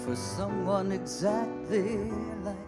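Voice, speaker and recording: A woman singing a slow closing song with piano accompaniment. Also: medium pitch.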